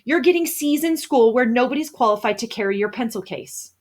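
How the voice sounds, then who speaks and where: A woman's voice: on the high side, animated, fairly quick, darkish tone. A woman reading a storybook, playing a blunt, exasperated schoolboy who is sarcastic with a friend.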